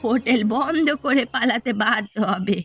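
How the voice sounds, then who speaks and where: A woman plays a vengeful old village mother from a cartoon, pitched very high. She sounds sure of herself and conspiratorial, with music clearly playing behind her. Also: moderate pace, a bit bright, dry recording. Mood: scheming, confident. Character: scheming, vengeful elderly mother running a village eatery. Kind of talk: cartoon story voice acting.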